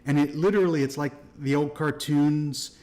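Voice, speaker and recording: A man's voice: fairly high pitch, normal speed. Easygoing man narrating as game master. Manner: relaxed, laughs while narrating. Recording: live stream voice chat.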